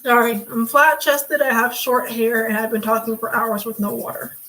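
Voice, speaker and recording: Young woman, hoarse, raspy, sassy livestream chatter, a bit high-pitched. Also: little pitch movement, moderate pace, pushed and bright, poor audio. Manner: sassy, chatty, calls viewers sweetie and honey. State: hoarse from talking for hours. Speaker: livestream host. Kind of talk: casual livestream chat.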